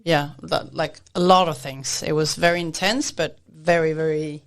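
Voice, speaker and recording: A woman speaks in a lowish voice as a guest in a relaxed interview. Her talk is loose and chatty. She often laughs and lets her sentences drift into fillers. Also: normal speed, gravelly. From Sweden. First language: Swedish. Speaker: guest, jazz singer. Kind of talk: casual interview chat.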